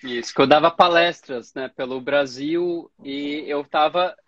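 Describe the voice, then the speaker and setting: A man's voice: a little high, measured pace, a bit bright, no room sound, lo-fi sound. Chatty man on a dry video call. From Brazil, Campinas. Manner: chatty, storytelling, laughs easily. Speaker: host. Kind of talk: casual interview chat. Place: small room at home. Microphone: video call webcam.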